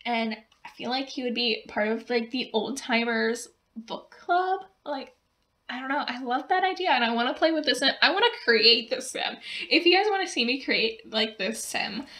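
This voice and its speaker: A young woman narrating her building game in a casual, rambling way, often laughing, with her voice going hoarse. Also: on the high side, moderate pace, bright, forceful tone. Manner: rambling, giggly, lots of fillers. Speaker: gaming video creator.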